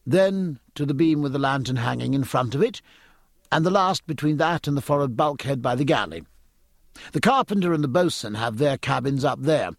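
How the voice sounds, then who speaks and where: A man's voice: medium pitch, no echo, clean studio sound, voice pushed up. A man voicing an earnest, eager young midshipman in an audiobook, polite and keen to explain nautical matters. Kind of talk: audiobook character acting.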